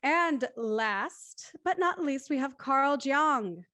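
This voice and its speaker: A woman's voice: sits high, expressive, takes it slowly, sharp tone, dry recording. A woman hosting a live-streamed show in a casual, chatty and playful way. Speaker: host of a live script-reading show.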